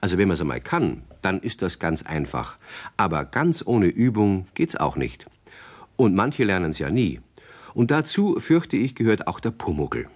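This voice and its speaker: A man narrating a children's radio play as a friendly storyteller, chatty and measured, speaking directly to young listeners. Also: deep voice, sing-song, exaggerated voice.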